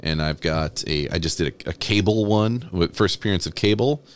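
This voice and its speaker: A man's voice: low voice, moderate pace. A man chatting casually as an interview guest, rambling and chuckling, enthusiastic about his own work. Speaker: interview guest, comic book artist.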